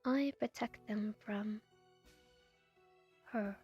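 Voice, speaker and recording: A woman voicing a gentle, solemn guardian spirit of light in an ASMR fantasy roleplay, speaking softly in a hushed, measured way. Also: mid-range voice, faint music behind. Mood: grave, wary. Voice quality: soft, breathy, half-whispered. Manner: slow, measured, hushed delivery.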